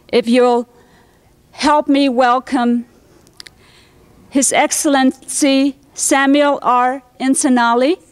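A woman in her thirties hosting a conference, speaking formally and deliberately into a podium microphone in a large hall. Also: high voice, clean tone. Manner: deliberate, ceremonial. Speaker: conference host. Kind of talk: formal welcome speech.

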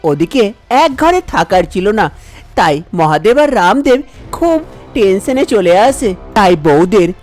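A man's voice: sits high, sing-song, measured pace, darkish tone. A man narrating a scripted cartoon folk story. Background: faint background music.

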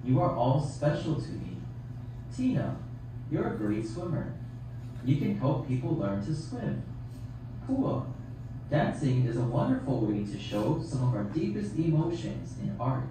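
A man's voice: mid-range voice, big pitch swings, a little slow. Man playing a kind puppet Jesus, very echoey. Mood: encouraging. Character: kind, fatherly puppet Jesus praising everyone lovingly. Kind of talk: children's puppet show acting. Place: theatre, behind puppet stage curtain. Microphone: far camera. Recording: very echoey hall from far off, noisy.

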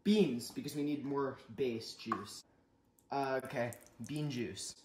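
A man's voice: mid-range voice, slow, background noise. Teen boy, mock cooking-show host, sarcastic and jokey; echoey kitchen with clattering pots. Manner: sarcastic, jokey, playfully enthusiastic about gross food. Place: home kitchen, indoors. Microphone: camera across the counter.

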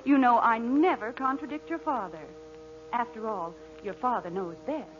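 An actress playing a patient, gently managing wife and mother in a 1940s radio comedy, polite and placating. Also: fairly high pitch, moderate pace, music in the background, lightly acted. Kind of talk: 1940s radio sitcom acting. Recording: dull 1940s radio recording.

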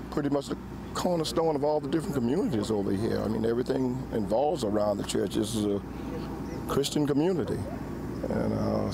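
A man's voice: medium pitch, vocal fry, noisy background. A man, a small-town mayor, speaking plainly and with feeling in an outdoor news clip.

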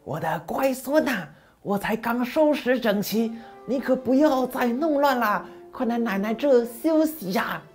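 A man voicing the devil's old grandmother, talking softly and slowly. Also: very high-pitched, lively intonation, soft background music, voice pushed up. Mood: coaxing.

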